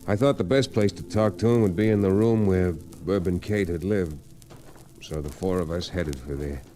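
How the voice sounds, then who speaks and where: Deep-voiced, solemn western radio hero played by a man. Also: moderate pace, mellow, faint music behind, close to an everyday voice. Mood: reflective. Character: solemn, kindly western man narrating his tale. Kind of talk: old-time radio western acting. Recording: noisy old radio recording.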